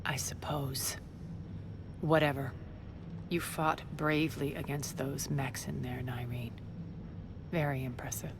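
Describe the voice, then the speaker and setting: A woman's voice: rumbling low, little pitch movement, measured pace, long pauses, noisy background. A cold, imperious female crime boss. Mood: scornful. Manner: imperious, clipped, scornful. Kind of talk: video game cutscene acting.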